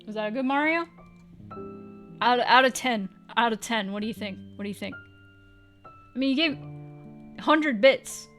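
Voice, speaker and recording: A woman livestreamer chats with her viewers, teasing and joking, with a casual swear here and there. Her voice is bright and a little high. Faint music plays behind her. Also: unhurried, long pauses.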